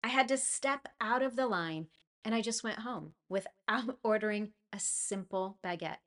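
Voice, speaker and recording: A woman teaching English to learners, talking through a clear, encouraging lesson with a personal story. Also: medium pitch, moderate pace, a bit bright. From United States. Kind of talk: scripted lesson monologue.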